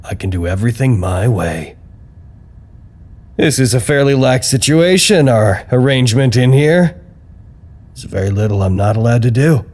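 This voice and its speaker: An actor playing an anxious, earnest office worker who records wry, reflective, halting confessional reports in an audio drama. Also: male voice, mid-range voice, lively intonation, a little mellow, natural voice. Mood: weary, musing. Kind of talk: audio drama monologue, intimate log narration.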